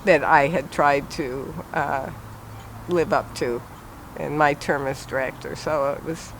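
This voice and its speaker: An older man, a retired preschool director, looking back in an interview at an unhurried pace with frequent pauses and fillers. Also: fairly high pitch, steady tone, creaky, fairly bright tone, slight background noise, poor audio. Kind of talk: reflective interview. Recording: music bed underneath.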